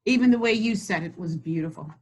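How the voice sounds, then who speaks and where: A woman hosting a children's read-aloud, encouraging and slow, stretching words as she reads. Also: on the low side, slightly rough. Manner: warm, encouraging, slows and stretches words when reading. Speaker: school district host reading to children. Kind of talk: read-aloud lesson and chat.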